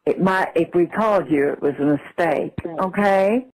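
A woman's voice: lowish voice, normal speed, a little mellow, no room sound, rough recording. An older woman talking on the telephone in a plain-spoken, admonishing manner. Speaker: prank call recipient. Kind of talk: phone conversation.

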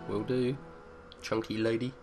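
A man's voice: medium pitch, level tone, unhurried, darkish tone. A man giving casual, jokey commentary as he plays a video game. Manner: jokey, chuckling asides. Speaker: let's-play host. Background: game music.

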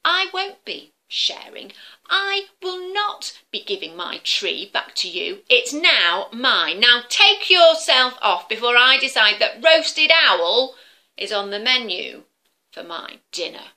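A stubborn, bullying fire-breathing dragon , voiced by a woman reading aloud booms out every line. The voice is bright and swooping, pitched high for a dragon, made for a storybook told to children. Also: slow, heavily acted. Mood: menacing. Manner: loud, gruff, refuses flatly.

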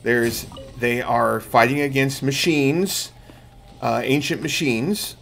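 A man's voice: mid-range voice, takes it slowly, creaky, a bit bright. A middle-aged man giving casual live gameplay commentary, explaining things to viewers as he streams. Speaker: game streamer and host. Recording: game music behind the voice.

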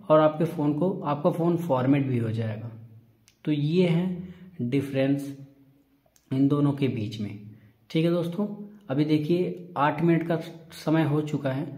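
Mellow man, echoey tutorial voice. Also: on the high side. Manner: reassuring, repetitive explaining. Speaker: tech tutorial presenter. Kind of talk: informal step-by-step phone tutorial. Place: indoor room. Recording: echoey room.

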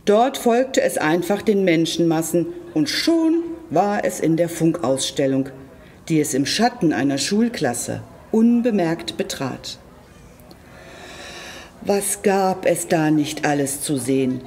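A storyteller reading a children's tale aloud expressively and deliberately, addressing young listeners directly. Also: mid-range voice, sing-song, a little mellow, a little hiss or hum.

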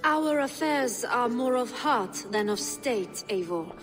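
A weary, wry noblewoman in a medieval game cutscene. Her voice sits fairly high, with theatrical, formal phrasing. Music plays clearly behind her. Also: animated, slow pace. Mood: wry, resigned. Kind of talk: video game dramatic acting.

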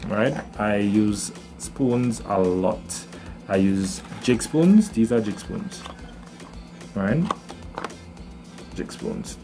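A man's voice: fairly low pitch, a little mellow. A young man with a Trinidad and Tobago accent, chatting to camera about his fishing gear and often checking in with "right?", recorded indoors on a close camera. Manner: chatty, explains with "right?" tags and fillers. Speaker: fishing vlogger. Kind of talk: casual gear walkthrough to camera. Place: indoors by wooden doors.

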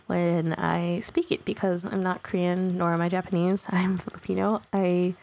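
A woman's voice: medium pitch, creaky, darkish tone. A woman whose first language is Tagalog, rambling through casual commentary over a video game, with small self-conscious laughs. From Canada. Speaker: gaming YouTuber.

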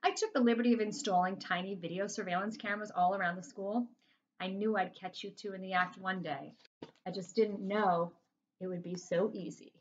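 A woman voicing a gloating, smug male school principal with a mocking, drawn-out delivery, in a storybook reading. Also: medium pitch, lo-fi sound, lightly acted.

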